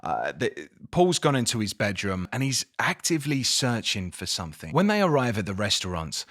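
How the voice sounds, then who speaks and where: An English man giving a casual spoken book review, expressive, with dramatic emphasis and incredulous questioning. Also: on the low side, normal speed. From England.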